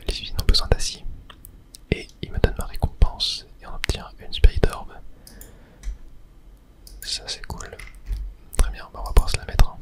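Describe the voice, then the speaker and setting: Soft whispering gaming ASMR host. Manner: casual, hesitant, thinking aloud. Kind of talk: whispered ASMR game commentary.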